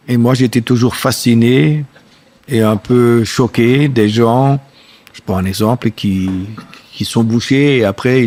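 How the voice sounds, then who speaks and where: A man with a German-speaking Belgian accent, hosting a podcast interview. He talks earnestly, searching for words with halting phrasing. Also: mid-range voice, a little mellow. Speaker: podcast host, farmer. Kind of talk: casual interview chat.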